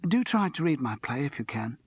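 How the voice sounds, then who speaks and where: A man reading aloud and voicing an agitated, manic young male student who swings between wild laughter and quiet. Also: big pitch swings, a little fast, husky, dry recording. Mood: subdued. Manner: jittery, laughing, high-strung. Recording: slightly muffled dry recording.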